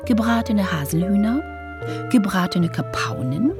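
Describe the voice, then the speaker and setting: A woman playing a deliberate announcer who recites lists and titles in a radio play, over music. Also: mid-range voice, expressive, close to an everyday voice. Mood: deliberate, savouring.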